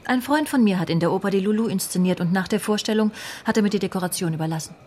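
An actress playing a sly, self-assured police secretary in a radio drama, with a knowing, teasing manner. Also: female voice, mid-range voice, racing, a little mellow, a little hiss or hum, close to an everyday voice. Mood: breezy. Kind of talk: naturalistic radio drama acting.

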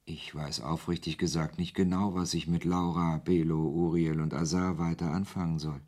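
An actor playing a wry author who narrates his story in a measured, literary way in a German radio play. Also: low-pitched, level tone, darkish tone.

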